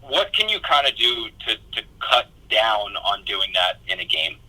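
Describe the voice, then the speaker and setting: A man's voice: fairly low pitch, big pitch swings, measured pace, sharp tone. A man working as a sports reporter, asking rambling, self-interrupting press questions down a phone line.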